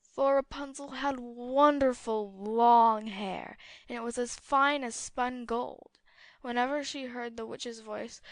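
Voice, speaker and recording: A woman narrating a fairy tale as an audiobook, with a clear storytelling delivery. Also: fairly high pitch, a bit bright, no room sound.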